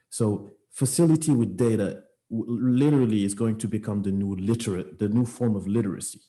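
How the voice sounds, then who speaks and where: A man speaking as a panelist in an online discussion, measured, with thoughtful fillers. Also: fairly low pitch, warm tone.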